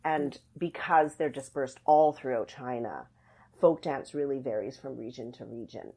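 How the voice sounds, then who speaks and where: A woman's voice: extremely low pitch, moderate pace, mellow. A middle-aged woman explaining things conversationally as an interview guest, thoughtful and searching for her words. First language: English. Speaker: podcast guest, dance academy artistic director.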